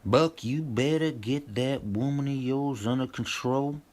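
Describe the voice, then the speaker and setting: A man's voice: mid-range voice, a little mellow, natural voice. A man playing a menacing, crude backwoods moonshiner in an audio drama, with a rustic, taunting way of talking. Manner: rustic, slow, taunting talk.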